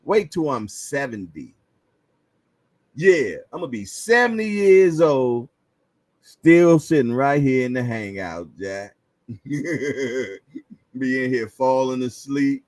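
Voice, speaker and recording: A man's voice: medium pitch, animated, slow pace, pauses often, dry recording. A man in his fifties hosting a boxing livestream, chatting casually and reading viewer comments, chuckling and repeating phrases with slangy banter. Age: 50s.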